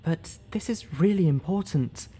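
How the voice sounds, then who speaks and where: A man voicing a gentle, soft-spoken female pony who sounds weakened and short of breath. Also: on the high side, a little slow, breathy edge, voice pushed up. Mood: pleading.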